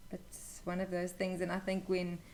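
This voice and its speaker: A woman's voice: lowish voice, completely flat delivery, normal speed, a little mellow. A young woman telling a personal story as an interview guest, casually and candidly, with some hesitation. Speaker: interview guest, new mother.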